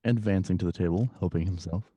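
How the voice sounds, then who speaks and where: A man's voice: low-pitched, fast talker, warm tone, slightly rough, no room sound. A man reading out stage directions as scripted narration in a matter-of-fact way.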